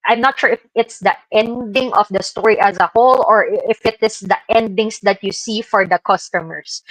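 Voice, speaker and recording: A woman's voice: medium pitch, a bit bright, no echo, low-quality recording. A woman presenting informally to co-hosts on an online show, in a hesitant, conversational way. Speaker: podcast presenter. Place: online live stream call.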